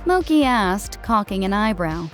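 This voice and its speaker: Seorang wanita membacakan narasi cerita fiksi sebagai narator buku audio. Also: female voice, mid-range voice, sing-song, measured pace, soft background music. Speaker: audiobook narrator. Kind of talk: scripted fiction narration.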